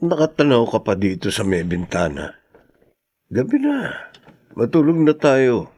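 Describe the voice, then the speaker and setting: A man's voice: medium pitch, wildly swooping pitch, unhurried, a little mellow, husky, no echo, exaggerated voice. A man with a Filipino accent playing a level-headed, caring middle-aged husband and friend who consoles others, in a radio drama. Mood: reassuring.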